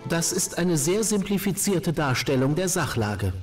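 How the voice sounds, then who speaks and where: A man's voice: a little high, big pitch swings, normal speed, distant mic, over music. A man playing a cold, analytical robot companion in a video game, speaking in clipped, formal, technical phrases. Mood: flat, analytical.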